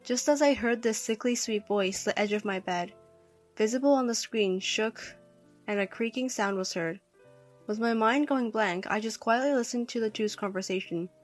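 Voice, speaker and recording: Story narrator: woman, fairly high voice, scripted first-person read over light music. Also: moderate pace.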